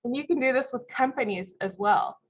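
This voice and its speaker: A woman with a congested voice from a cold and a slight cough, presenting a software webinar in a friendly, explanatory way with frequent ums. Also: a little high, brisk. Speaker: company vice president presenting a software webinar. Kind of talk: live product demo webinar. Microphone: video call mic. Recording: dull lo-fi video call audio.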